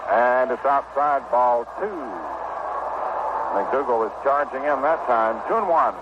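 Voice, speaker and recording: A man calling baseball play-by-play on 1960s radio in terse, clipped phrases with pauses between pitches. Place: ballpark broadcast booth. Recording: noisy muffled vintage AM radio broadcast.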